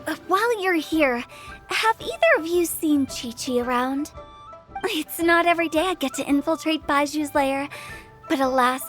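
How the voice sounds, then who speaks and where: A woman's voice: high voice, fairly bright tone. A woman playing a playful, inquisitive young funeral director in a video game, chatty and theatrical, with a suspicious streak. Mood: mischievous. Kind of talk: English video game voice acting.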